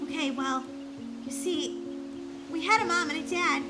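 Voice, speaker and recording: A performer voicing an excitable, earnest young mermaid princess with melodramatic, exclamatory delivery in a children's story. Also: high-pitched, a little slow. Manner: melodramatic, exclamatory, stammering when upset. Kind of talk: dramatised children's story acting. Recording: music behind the voice.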